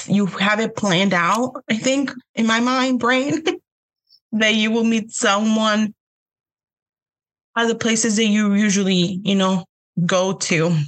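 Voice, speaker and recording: Slow-talking Honduran-accented woman. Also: mid-range voice, a bit bright. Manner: unhurried, hesitant with many fillers, laughs often. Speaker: podcast guest. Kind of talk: casual interview chat.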